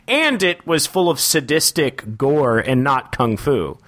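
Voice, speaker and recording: A man co-hosting a podcast, talking about films in an animated, opinionated way, often laughing and acting out quotes. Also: mid-range voice, takes it slowly. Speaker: podcast co-host. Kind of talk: casual film discussion.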